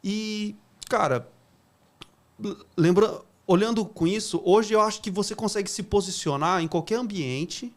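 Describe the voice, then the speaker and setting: Male podcast host, casual and jokey, quite high-pitched. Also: measured pace. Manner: slangy, jokey, says "cara" a lot. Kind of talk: casual chat.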